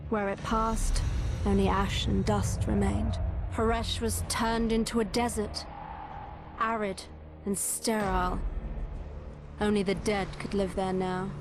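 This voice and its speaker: A woman playing a grave, poised undead necromancer noblewoman in a fantasy game, speaking in measured, formal phrases. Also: mid-range voice, natural voice. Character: solemn, poised undead necromancer noblewoman telling her people's history. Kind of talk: scripted fantasy game narration and dialogue.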